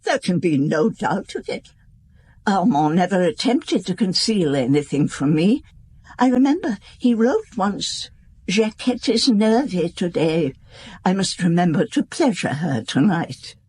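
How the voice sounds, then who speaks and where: Radio drama casting: old aristocratic lady, unhurried, husky, dignified, frank yet wistful. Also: on the low side, very animated, a little mellow, full character voice. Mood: frank, serene. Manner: formal, composed, unhurried, frank. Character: gracious, dignified, wistful elderly female aristocrat.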